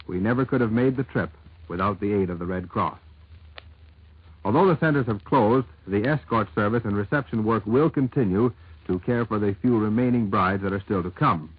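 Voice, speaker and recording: Through a narrow, tinny 1940s radio recording comes a man with a fairly low voice. He reports the news from a script, measured and emphatic, in a dry acoustic. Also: breathy edge, dry recording.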